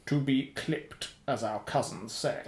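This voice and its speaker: An actor in a radio play voicing a menacing, sardonic boss of a shady firm, clipped and commanding. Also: male voice, medium pitch, slow, a little mellow, echoey room. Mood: cold menace.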